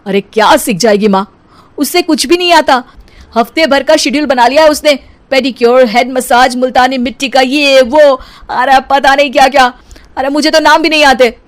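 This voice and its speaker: A woman's voice: high-pitched, moderate pace, close to an everyday voice. A woman voicing a tired, exasperated young husband who grumbles emphatically in a cartoon story. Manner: complaining, emphatic. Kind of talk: cartoon story character acting.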